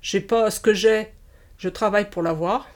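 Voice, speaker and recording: Woman, 50s, Portugal-born interviewee; halting and casual, mid-pitched. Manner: halting, many pauses and restarts. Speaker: interviewee, childminder.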